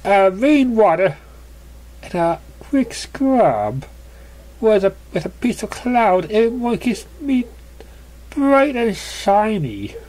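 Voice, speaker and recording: A reader putting on a silly female pegasus pony for a cartoon fan tale, well above normal pitch. She gushes breezily and sounds exuberant and fun. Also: very animated, slow, a little mellow, slight background noise, poor audio. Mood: breezy. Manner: breezy, gushing.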